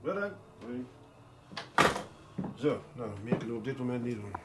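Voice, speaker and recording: An actor playing a calm senior police detective in a radio play, measured, authoritative and dryly courteous. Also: male voice, mid-range voice, heavy background noise, lightly acted.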